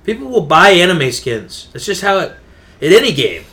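A man's voice: high voice, sing-song, normal speed, bright, forceful tone. A man chatting informally and in slang as co-host of a casual gaming podcast.

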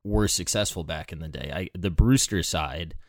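A man's voice: low-pitched, moderate pace, no echo, clean studio sound. An American man hosting a podcast, chatting informally and candidly. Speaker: podcast host. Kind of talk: casual chat.

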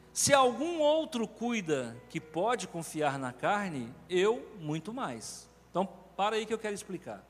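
A Brazilian pastor with a high voice that swings widely as he preaches, pressing his points with animated emphasis. His voice carries a long reverb through a large church sanctuary. Faint music hums underneath. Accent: Brazilian Portuguese. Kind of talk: sermon.

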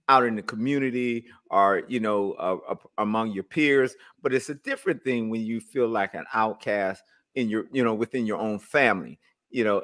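A man's voice: medium pitch, a bit bright. A man hosting an interview, asking questions about a book and affirming the guest often. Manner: affirming, frequent mm-hmms. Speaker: interview host.

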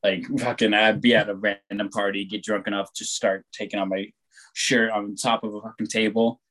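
A young man chatting casually, rambling and laid-back, who swears freely and talks loud. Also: on the low side, little pitch movement, moderate pace, rough recording. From born on the West Coast, raised on the East Coast of the US. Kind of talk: casual chat.

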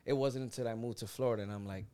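A man from Puerto Rico chatting casually, telling stories in slang and acting out the dialogue in an animated way. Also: mid-range voice, very fast, clean tone. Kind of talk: casual chat, anecdotes.

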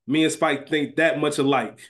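Male podcast co-host, slightly high voice, loose slangy conversational delivery. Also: fairly even intonation, normal speed, fairly bright tone. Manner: colloquial, slangy. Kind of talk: casual chat.

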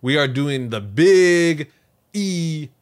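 A man's voice: fairly high pitch, animated, drawn-out words, smooth. A man co-hosting a podcast in casual, jokey, self-deprecating chat. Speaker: podcast co-host.

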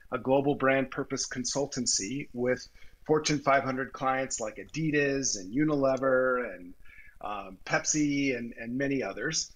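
Man hosting a live interview, measured phrasing. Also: medium pitch, a bit bright, poor audio. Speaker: host introducing and interviewing a guest. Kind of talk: live audio event hosting, semi-prepared remarks.

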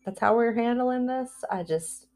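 A woman talking candidly in a personal spiritual vlog, rambling and heartfelt, with fillers, restarts and soft laughs between phrases. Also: a little high, moderate pace, faint music behind. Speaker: spiritual vlogger.

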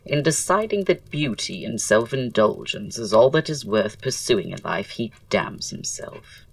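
An actor playing a witty, flamboyant Victorian writer and aesthete in an audio drama, speaking in a florid, languid, theatrical way. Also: male voice, mid-range voice, sing-song, measured pace, gravelly, far from the mic. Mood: amused, languid.

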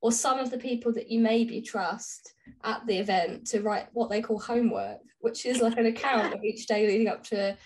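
A woman's voice: fairly high pitch, steady tone, normal speed, a little hiss or hum. A woman co-hosting a podcast, talking through books casually and informally in chatty, rambling explanations. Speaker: podcast co-host. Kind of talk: casual book discussion chat.

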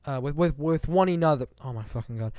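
A man's voice: on the high side, fast talker, darkish tone, no echo, clean studio sound. A man from Iran, whose first language is Farsi, co-hosting a casual podcast and rambling with lots of fillers and swearing. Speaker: podcast co-host.

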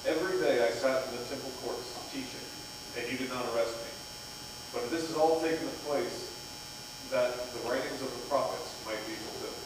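A man's voice: mid-range voice, moderate pace, natural voice. Distant echoing man reading as solemn Jesus. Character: Jesus, solemn male teacher in a Passion story. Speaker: church reader in a dramatic Passion reading. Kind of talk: scripted scripture reading. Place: inside a church, far from the microphone. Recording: echoey church, distant, humming noise.

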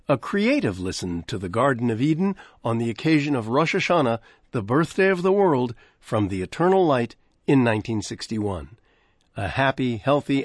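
Lively radio host, dry studio. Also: male voice, medium pitch, dry recording. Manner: measured, articulate, lively delivery. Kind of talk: scripted radio introduction. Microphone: close studio microphone. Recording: dry close studio sound.

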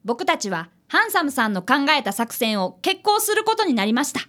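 ラジオドラマで、丁寧な口調の真面目な少年探偵見習いを演じる女性。. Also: female voice, high voice, very animated, moderate pace, fairly bright tone. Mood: earnest narrating. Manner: polite, deferential speech. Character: polite, earnest young boy, apprentice detective. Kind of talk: radio drama acting and narration.

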